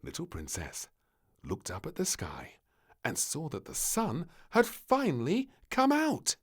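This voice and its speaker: A man reading a children's storybook aloud, with warm, expressive storytelling. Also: on the high side, unhurried, slightly rough. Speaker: storybook narrator. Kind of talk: children's read-along narration.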